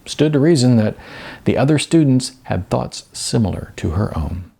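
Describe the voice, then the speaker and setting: A man's voice: mid-range voice, expressive, moderate pace, creaky, warm tone, husky. A man, an author reading from his own novel in an informal, conversational storytelling style.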